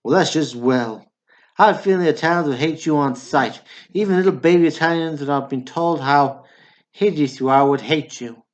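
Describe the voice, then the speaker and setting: A man's voice: fairly high pitch, moderate pace, close to an everyday voice. An actor playing a belligerent small-town tough guy who needles people, in an amateur radio play. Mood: scornful. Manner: taunting, needling. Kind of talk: amateur radio drama acting. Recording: dull lo-fi radio drama recording.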